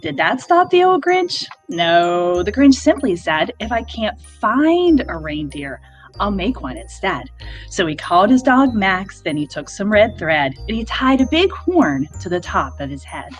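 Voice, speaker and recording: A woman's voice: medium pitch, sing-song, measured pace. A woman reading a children's rhyming storybook aloud with plenty of expression. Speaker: library staff member reading aloud. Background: background music.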